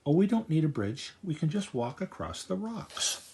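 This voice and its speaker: A man's voice: a little high, sing-song, moderate pace, darkish tone, husky, voice pushed up, full character voice. A man doing a kindly old lady librarian.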